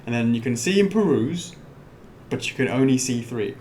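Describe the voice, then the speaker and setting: A man with a loud voice, co-hosting a live question-and-answer stream in a rambling way, full of restarts and chat shout-outs. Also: mid-range voice, expressive, slight background noise. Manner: rambling, halting restarts, reads out chat comments.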